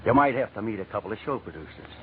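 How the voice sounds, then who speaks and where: A man's voice: on the low side, lively intonation, racing, close to an everyday voice. A man acting a slick gambler, tinny old radio. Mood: coaxing. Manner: slangy, clipped, slick. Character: smooth-talking male gambler, persuasive, secretly dangerous crook. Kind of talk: 1940s radio drama acting. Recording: tinny, noisy vintage radio recording.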